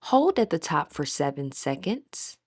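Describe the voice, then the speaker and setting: A woman with a breathy voice gently leading a guided meditation as an instructor, in measured, scripted phrases. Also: low voice, big pitch swings, no room sound, clean studio sound.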